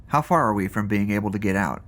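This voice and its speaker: A man's voice: on the low side, fast, background noise, close to an everyday voice. A man acting an earnest, anxious character in an audio drama, insistent and stammering as he reasons. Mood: troubled, earnest. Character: earnest, anxious man arguing for good faith.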